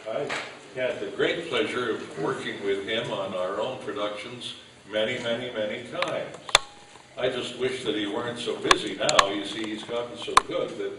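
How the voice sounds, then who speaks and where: A man acts as master of ceremonies, making loose, unscripted introductions from a stage with self-deprecating jokes. His voice is picked up at a distance and swims in heavy room echo. Loud noise runs through the whole recording. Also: lowish voice, fairly even intonation, moderate pace. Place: live on stage at an old-time radio event. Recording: very echoey, noisy hall, distant mic.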